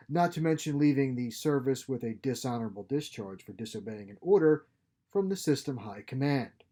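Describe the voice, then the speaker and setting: A man's voice: mid-range voice, dark tone, close to an everyday voice. A man voicing a stern, threatening starship captain with clipped, commanding politeness in a story read aloud.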